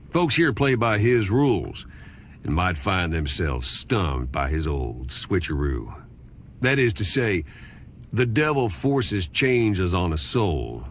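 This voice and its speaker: Deep, folksy Southern skull storyteller. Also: male voice, a little hiss or hum. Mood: folksy, sardonic. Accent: Southern American. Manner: folksy, sardonic, drawling storyteller. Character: wry old talking skull, male demonic drifter storyteller. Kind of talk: scripted game cutscene narration. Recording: music behind the voice.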